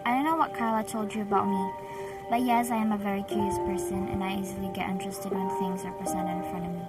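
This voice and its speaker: A woman's voice: medium pitch, little pitch movement, quick pace, recorded from a distance, over loud music, close to an everyday voice, low-quality recording. A woman playing a curious girl student who answers questions defensively and firmly. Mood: guarded. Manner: defensive, firm. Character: guarded, curious girl who sees the past.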